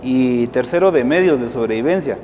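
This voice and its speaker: A man's voice: mid-range voice, animated, moderate pace, darkish tone, long reverb tail, soft background music. Hesitant Guatemalan man answering interview questions. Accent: Guatemalan Spanish. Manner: hesitant, frequent "eh" fillers and restarts. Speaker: guest, civil society activist.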